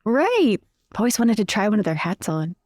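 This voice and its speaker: A woman plays a tabletop game, bantering casually and playfully. Her voice sits at a middling pitch and is recorded close and dry. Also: big pitch swings, normal speed, a little mellow, dry recording.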